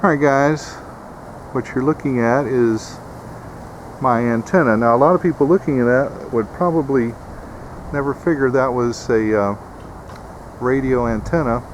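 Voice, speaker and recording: A man's voice: medium pitch, moderate pace, pauses often, a little hiss or hum, poor audio. A man giving a casual, plainspoken instructional vlog in a folksy way, like a host showing viewers his homemade setup. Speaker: host of homesteading video channel.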